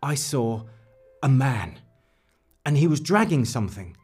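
A man playing a flustered, evasive suburban neighbour in a dark comedy audio drama, nervous, over-polite and hesitant. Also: mid-range voice, big pitch swings, a little slow, slightly rough, soft background music, exaggerated voice. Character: flustered, evasive suburban male neighbour caught lying to police.

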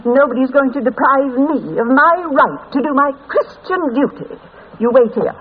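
An imperious small-town busybody, self-righteous and scolding. Her high voice rises and falls sharply in old radio drama style. The recording is tinny, with heavy noise. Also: lively intonation, normal speed. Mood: indignant.

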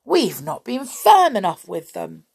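A woman's voice: on the high side, wildly swooping pitch, unhurried, creaky, a little mellow, husky, pitched above the performer's own voice, full character voice. A woman voicing a stern, angry father in a storybook tale, scolding firmly.

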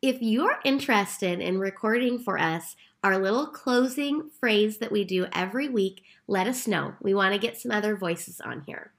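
A woman co-hosting a podcast in calm, explanatory casual chat. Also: mid-range voice, moderate pace, hardly any pauses. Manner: calm, explanatory, recaps steps. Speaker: podcast co-host.

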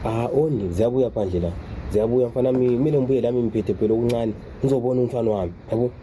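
Man acting proud protective dad, very dark soft tone, exclamatory, radio drama, slight background noise. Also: mid-range voice, very fast, natural voice. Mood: insistent, proud. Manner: repetitive, exclamatory, colloquial. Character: proud, protective father struggling to provide for his son.

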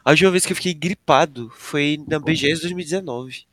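A young Brazilian man chatting casually, his voice nasal as he gets over a flu, telling his story in slow, drawn-out phrases. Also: fairly high pitch, fairly bright tone. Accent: Brazilian Portuguese. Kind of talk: casual chat.

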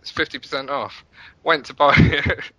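A man's voice: on the high side, fairly even intonation, moderate pace, bright, forceful tone, dry recording, dull audio. A British man chatting casually as a podcast guest, talking in a rambling, self-interrupting way. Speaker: podcast guest, web developer.

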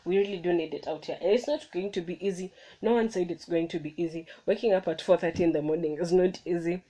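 Low-voiced young woman, chatty phone podcast. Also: fast, warm tone, poor audio. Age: young adult. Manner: chatty, self-correcting, motivational. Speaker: podcast host. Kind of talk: casual solo podcast monologue. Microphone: phone microphone.

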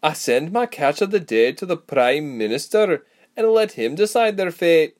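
Male narrator as fearless frontier woman, raised pitch, brash, wry, folksy dialect, fantasy storybook. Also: sing-song, normal speed, darkish tone. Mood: matter-of-fact. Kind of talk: audiobook character voice.

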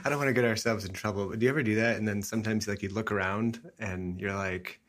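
A man's voice: on the low side, steady tone, fast, fairly bright tone. A man co-hosting a casual chat from his office, easygoing and joking in a friendly way. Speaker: podcast co-host.

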